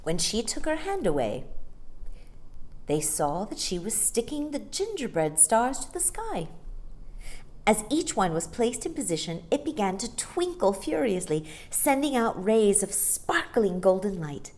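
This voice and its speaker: A woman narrating a children's story in an expressive storytime style. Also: medium pitch, measured pace. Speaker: storytime reader.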